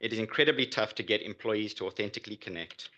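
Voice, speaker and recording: Man, startup founder, prepared business presentation, lowish pitch, bright forceful voice. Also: fast talker, lo-fi sound, a little hiss or hum.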